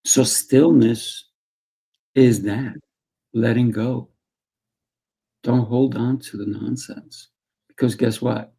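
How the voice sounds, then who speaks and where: A man who teaches martial arts, speaking in a calm, soft guiding way as a podcast guest. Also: medium pitch, slow, vocal fry, long pauses, husky, low-quality recording. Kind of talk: conversational interview talk.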